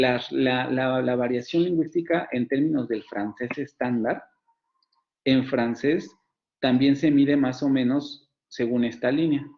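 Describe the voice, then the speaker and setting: Mexican man lecturing over dull audio. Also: mid-range voice. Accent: Mexican Spanish. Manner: explanatory, many fillers and restarts, rhetorical questions. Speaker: university phonetics professor. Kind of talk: online lecture. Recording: dull lo-fi sound.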